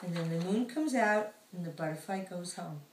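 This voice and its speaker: A woman, an author reading her picture book aloud in an animated storytelling style. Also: fairly low pitch, normal speed, a little hiss or hum. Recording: echoey room, distant microphone.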